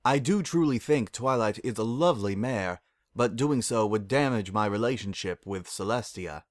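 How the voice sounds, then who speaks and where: A man's voice: lowish voice, normal speed, no room sound, studio quality, natural voice. A man voicing a stern, imperious female pony princess of the night with formal, archaic, commanding speech. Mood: measured, regal.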